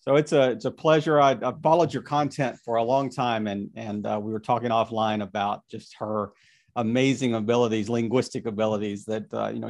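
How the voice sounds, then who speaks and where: A man's voice: mid-range voice, darkish tone. A man hosting a podcast interview, rambling with repeated words and restarts. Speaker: podcast host.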